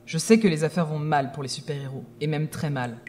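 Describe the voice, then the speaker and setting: Woman acting an imperious, clipped schemer, low-voiced. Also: brisk, darkish tone, a little hiss or hum, close to an everyday voice. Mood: cold, commanding. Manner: clipped, commanding, formal. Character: imperious, enigmatic woman schemer giving orders, coldly threatening. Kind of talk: French audio drama acting.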